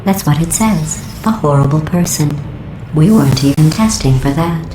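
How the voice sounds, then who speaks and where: A woman voicing a sardonic female artificial intelligence in a video game, deadpan and falsely polite, with a synthetic robotic sound. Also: deep voice, normal speed. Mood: deadpan mockery. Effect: synthetic robotic voice processing. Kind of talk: scripted video game voice acting. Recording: echoey game audio, clearly noisy background.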